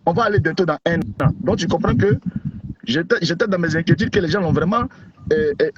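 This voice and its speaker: Guest, male, high voice, blunt and repetitive, lo-fi noisy call line. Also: fairly quick. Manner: emphatic, repetitive, outspoken. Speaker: interview guest, blogger. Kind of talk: casual interview by call. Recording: dull lo-fi call audio with noise.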